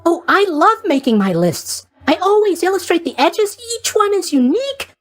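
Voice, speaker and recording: A man's voice: very high voice, very animated, normal speed. A man voicing a chatty, eager-to-please young god of sleep in a video game, rambling along with bubbly asides. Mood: cheerful. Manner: rambling, bubbly, hesitant asides, tag questions. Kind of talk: video game character voice acting.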